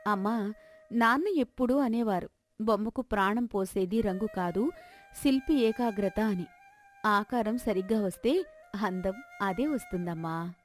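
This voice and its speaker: A woman's voice: medium pitch, measured pace, long pauses, faint music behind, close to an everyday voice. A woman voicing a brave, respectful young village daughter, earnest and firm, in a folk tale. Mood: calm. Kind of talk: acted folk-tale dialogue.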